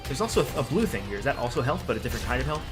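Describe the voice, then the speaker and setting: Quick-talking man, casual gaming chat. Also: mid-range voice, sing-song. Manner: questioning. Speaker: gaming co-host. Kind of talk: casual co-op gameplay commentary. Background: game music.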